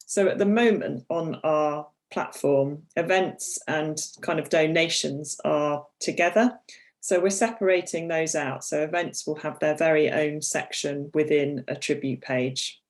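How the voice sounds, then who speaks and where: A woman hosts an online webinar, her voice a little croaky from a cold. She chats through the details at an easy pace, filling pauses with ums and the odd cleared throat, heard through a video call mic. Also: sits low. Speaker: webinar host. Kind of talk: presenting a product webinar.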